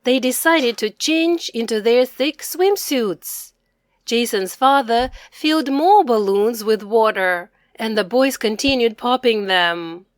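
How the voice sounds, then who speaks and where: A woman narrating a children's storybook expressively, addressing young listeners in a deliberate manner. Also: fairly high pitch, no room sound, studio quality. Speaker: storybook reader.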